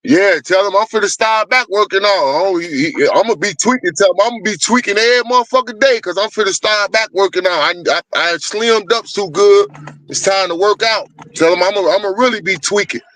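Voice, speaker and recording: A man, hosting a casual livestream and bragging in a loud, profane way. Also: high voice, a little fast, no echo. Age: 50s. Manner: boastful, profane, animated. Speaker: livestream host.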